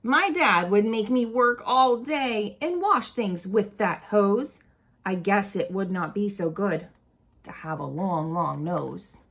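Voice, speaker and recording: A woman reading a picture book aloud expressively to young children, pausing to ask them simple questions. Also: medium pitch, animated, fairly bright tone.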